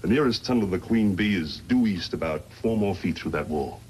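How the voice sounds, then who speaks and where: A man's voice: deep voice, animated, a little fast. An actor playing a tough, crooked mine foreman in a 1950s radio western, blunt and confident. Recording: old radio recording, faint noise.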